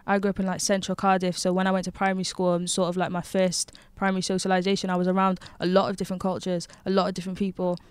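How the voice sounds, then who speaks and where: A woman's voice: on the low side, monotone, very fast, hardly any pauses, smooth, no room sound. A young woman, talking frankly and animatedly as a rapper guest in a studio lounge, into a handheld mic. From Wales. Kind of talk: casual conversation. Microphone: handheld microphone.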